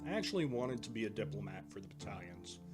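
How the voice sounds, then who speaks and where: A man playing an earnest older brother who trains recruits at a military academy, explaining things in a measured way in an audio drama. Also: lowish voice, sing-song, music in the background.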